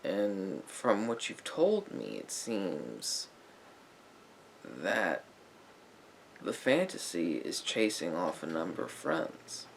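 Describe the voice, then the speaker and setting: A man playing a patient, probing therapist in a soft-spoken ASMR roleplay, with halting, deliberate phrasing and a gravelly voice that drops toward breathy near-whispers. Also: low voice, huge pitch swings, slight background noise, exaggerated voice. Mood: calm, counselling. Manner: halting, deliberate phrasing with pauses and reflective murmurs. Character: patient, probing male therapist gently counselling a client.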